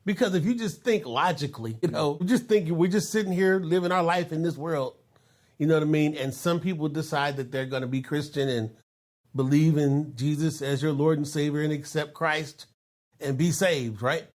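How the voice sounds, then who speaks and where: A man's voice: on the high side, normal speed. Chatty man in his fifties. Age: 50s. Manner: storytelling, laughs often, says "you know" a lot. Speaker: podcast host. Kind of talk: casual podcast chat.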